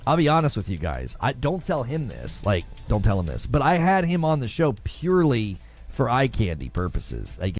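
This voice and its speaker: A man's voice: mid-range voice, wildly swooping pitch, normal speed, soft background music. A man talking casually on a live stream in a snarky, joking way. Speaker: streamer in played clip.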